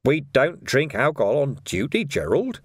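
Male reader as wily police commander, sardonic, needling, mock-friendly, animated intonation, dry sound, audiobook character. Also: on the high side, moderate pace, no room sound, voice pushed up. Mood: mock innocent.

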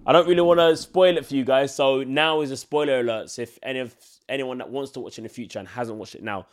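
A man's voice: mid-range voice, a bit bright. A young man in his twenties hosts his own podcast alone, his voice stuffy and nasal from a heavy cold. He talks casually and loosely, circling his points with a lot of filler words. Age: 20s. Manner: casual, rambling, many filler likes. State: congested from a cold. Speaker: podcast host. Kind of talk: solo podcast chat.

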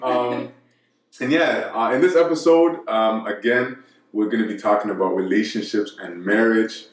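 A young man hosting a casual podcast, with a lowish voice. He is earnest and encouraging and often repeats his words. The room gives his voice a noticeable echo. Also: normal speed. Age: young adult. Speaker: podcast host. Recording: roomy room sound.

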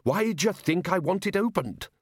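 A man's voice: high-pitched, expressive, moderate pace, husky, no room sound, clean studio sound, voice pushed up. A man doing a blustering, bossy old head wizard. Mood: indignant. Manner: loud, blustering, insistent. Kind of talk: audiobook character voice.

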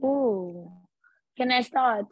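A woman from Africa in a casual conversation, speaking in a slow, thoughtful, laid-back way. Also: on the high side, husky, no room sound. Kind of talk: casual chat. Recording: dull dry lo-fi sound.

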